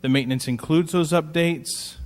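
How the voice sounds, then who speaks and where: Male council member, brisk questions, hall reverb. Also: on the high side. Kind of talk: questioning a vendor. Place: city council chamber.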